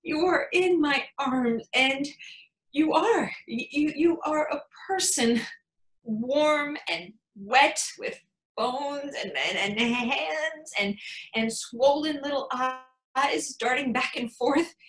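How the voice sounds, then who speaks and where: A woman acting a tender, excitable new mother in her thirties, telling her baby a story in an intimate, halting theatrical monologue. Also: high voice, reverberant, poor audio. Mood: awed, tender. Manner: emotive, halting, intimate storytelling with dramatic swells.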